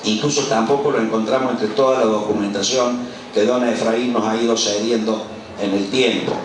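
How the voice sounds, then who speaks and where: A man's voice: fairly low pitch, fairly even intonation, normal speed. Argentine guy over 50 doing an informal book launch speech, emphatic, super echoey and far from the mic. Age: middle-aged or older, over 50. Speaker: author and university radio professor presenting a book. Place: large colonial patio at a public event. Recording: echoey, distant, noisy live event recording.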